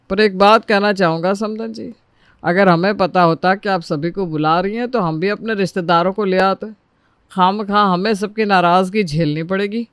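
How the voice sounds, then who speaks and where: A man's voice: high voice, clean tone, no echo, clean studio sound, close to an everyday voice. An actor playing a grumbling, teasing middle-aged father of a groom in a family story, wry and complaining.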